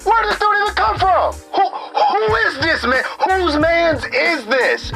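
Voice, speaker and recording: Streetwise protester caller played by a woman, female, high voice, blunt slangy rant, faint music behind. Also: a bit bright, low-quality recording. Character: indignant, streetwise young protester calling radio show. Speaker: radio caller.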